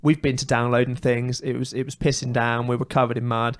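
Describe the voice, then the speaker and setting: A man's voice: mid-range voice, little pitch movement, a little fast, no echo. A British man co-hosting a comedy podcast, chatting casually with colloquial, jokey complaints and opinions.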